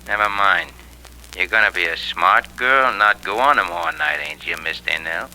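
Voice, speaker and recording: A low, cutting male voice plays a menacing crook in a 1940s radio thriller. The talk is slangy and tough, very forceful in tone, on an old broadcast recording. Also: moderate pace, a little hiss or hum, low-quality recording. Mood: cold menace. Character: menacing male crook making a threatening phone call.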